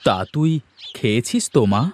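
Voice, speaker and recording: A man voicing a caring, protective village father in a cartoon, with an expressive, fatherly, questioning manner. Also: mid-range voice, normal speed, lightly acted. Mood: concerned. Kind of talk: cartoon voice acting. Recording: music behind the voice.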